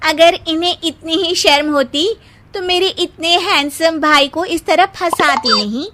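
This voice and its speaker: A woman's voice: very high-pitched, measured pace, noisy background. A woman voicing a vain, catty young sister-in-law in a family cartoon, with a sneering manner. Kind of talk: Hindi family cartoon dubbing.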